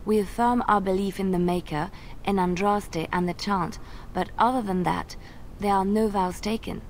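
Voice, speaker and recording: An actress playing a devout, gentle young former minstrel with a French accent, speaking earnest, lilting video game dialogue. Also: female voice, mid-range voice, steady tone, normal speed, a little hiss or hum, natural voice. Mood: flustered, then composed.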